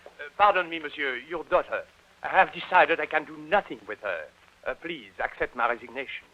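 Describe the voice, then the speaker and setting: A man's voice: fairly high pitch, a little fast, pushed and bright. A man playing an obsequious, fussy Parisian dancing master with a French accent in an old radio drama, courteous and hesitant. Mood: flustered. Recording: noisy, dull old radio recording.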